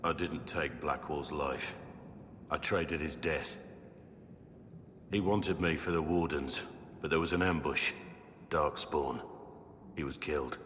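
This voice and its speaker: Very deep, guilt-ridden soldier. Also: male voice, a bit bright, in a large hall, a little hiss or hum, heavily acted. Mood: remorseful, subdued. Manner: grave, halting confession. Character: guilt-ridden bearded male soldier confessing from a prison cell. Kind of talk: video game voice acting.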